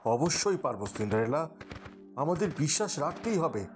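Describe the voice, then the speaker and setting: A man playing an earnest, protective young adventurer in an animated fairy tale. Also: a little high, normal speed, music in the background. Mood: reassuring, wary.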